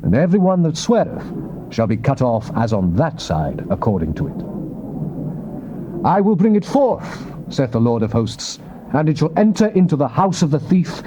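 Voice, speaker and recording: A man's voice: medium pitch, close to an everyday voice. A noisy old tape carries a British man playing a commanding angel of the Lord. The voice is grave and oracular, with wide, declamatory swings in a dramatized Bible reading. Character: solemn commanding angel messenger of God.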